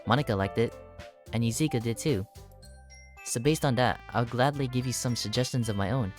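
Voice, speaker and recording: A woman's voice: extremely low pitch, brisk, darkish tone, faint music behind. A woman voicing a haughty, prickly young schoolgirl from a visual novel, snappy and defensive. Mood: huffy. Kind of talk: acted reading of game dialogue.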